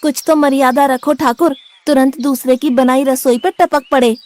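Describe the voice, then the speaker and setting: A woman's voice: high voice, steady tone, normal speed, a little mellow, a little hiss or hum, natural voice. A woman voicing a naive, stubborn young male disciple who loves food, speaking bluntly and indignantly in a cartoon story. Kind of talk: Hindi cartoon story dubbing.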